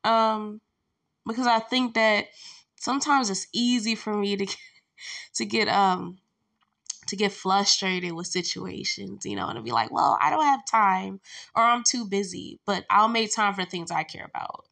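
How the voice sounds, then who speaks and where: A young woman hosts her podcast from home, talking loosely and candidly through fillers and laughs. Her voice sits at a middle pitch with a gravelly edge, and she sounds stuffy, congested from allergies while lying down. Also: measured pace, bright, forceful tone. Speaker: podcast host. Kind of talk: casual solo podcast chat.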